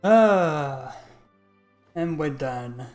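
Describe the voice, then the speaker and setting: A man's voice: fairly high pitch, animated, very slow, darkish tone, faint music behind. A man streaming a game and chatting casually over it in a loose, rambling way.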